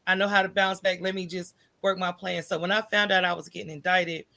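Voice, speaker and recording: Bright-voiced American woman telling her story. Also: lowish voice, fairly quick, gravelly. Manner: animated, acts out remembered dialogue, often asks "right?". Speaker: podcast guest, entrepreneur. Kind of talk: candid conversational storytelling.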